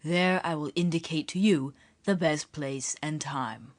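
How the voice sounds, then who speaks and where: A woman reader voicing a young musketeer who means to become a churchman. He speaks in a low, measured, polished way, with dry irony under his courtesy. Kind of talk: audiobook character reading.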